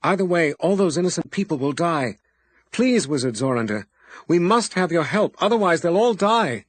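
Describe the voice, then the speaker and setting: A man voicing a desperate young mother pleading high. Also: expressive, normal speed, no echo. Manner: raised pleading, breaking into wails.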